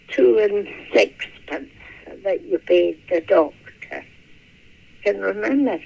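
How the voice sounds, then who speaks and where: An elderly woman, reminiscing in a halting, musing, creaky voice. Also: fairly low pitch, a little mellow. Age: 80s or older. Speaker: interviewee recalling childhood memories. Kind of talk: reminiscing interview. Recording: muffled, lo-fi radio-like sound.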